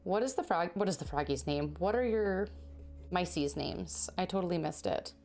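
A woman in her thirties with a low voice talks casually to her livestream chat as she stitches. She is chatty, laughs often and has a slightly sore throat. Faint music plays behind her. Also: expressive, moderate pace, darkish tone. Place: indoor home craft room.

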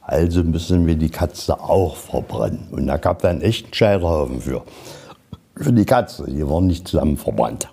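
A man's voice: rumbling low, lively intonation, moderate pace, creaky, dark tone. A man guiding visitors through a museum, explaining exhibits step by step in plain, colloquial words. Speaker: museum guide. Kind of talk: explaining exhibits on a guided tour. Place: inside a museum, on location.

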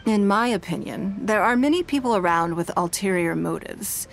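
A woman voicing a poised, wealthy businesswoman in a video game, speaking in a measured, composed and authoritative way. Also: mid-range voice, expressive, vocal fry, slight background noise.

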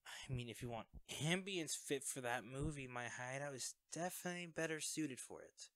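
A man's voice: medium pitch, normal speed, a bit bright, no echo, close to an everyday voice. A man acting a young superhero in an audio roleplay, a sheepish, caring best friend who speaks conversationally and a little hesitantly. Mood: teasing.

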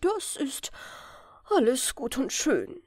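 A woman's voice: sits high, wildly swooping pitch, takes it slowly, darkish tone, husky, no echo, studio quality, voice pushed up, heavily acted. A woman voicing a grumpy king in a fairy tale, complaining indignantly as an exasperated father.

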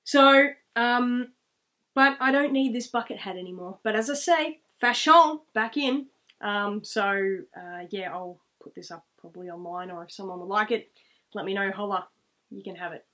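A woman's voice: mid-range voice, moderate pace, bright, forceful tone. A woman in her thirties with an Australian accent, telling stories casually to camera in a rambling, reflective way. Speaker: vlogger. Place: small room at home, indoors, seated.

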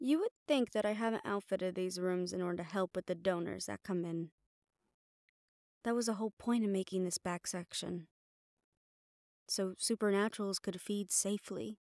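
A woman's voice: mid-range voice, normal speed, darkish tone, no echo, lightly acted. Female actor, mid-range, as a mafia boss's loyal, protective assistant; audio roleplay, firm and reassuring, dry close mic. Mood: reassuring, teasing. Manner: firm, reassuring, addressing the listener directly. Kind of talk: intimate audio roleplay acting.

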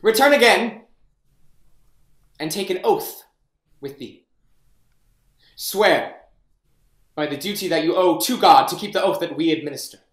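A proud young king who measures out every line of verse slowly. The delivery is regal and stately. It is picked up by a webcam in a room with noticeable echo. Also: high voice, animated, fairly bright tone. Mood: stern. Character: proud young male king passing judgment. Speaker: actor. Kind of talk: staged Shakespeare reading. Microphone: webcam on a video call. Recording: echoey room.

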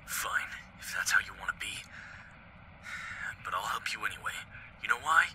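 Guy playing a blunt tired survivor dude, very sharp forceful lowish voice, terse, noisy audio, fan zombie drama. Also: animated, normal speed. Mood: fed up. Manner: firm, terse, exasperated. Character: weary, blunt young male survivor, protective but impatient. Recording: clearly noisy recording.

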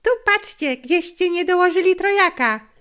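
Woman voicing a cheeky high-pitched young boy. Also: expressive, moderate pace, a bit bright. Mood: bold, demanding. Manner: bold, brash.

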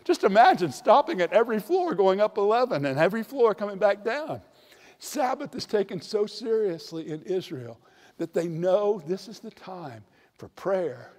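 Older priest preaching in a reverberant church. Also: male voice, high voice, darkish tone. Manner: storytelling, varied emphasis, slows for key points. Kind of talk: sermon. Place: large church sanctuary. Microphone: handheld microphone.

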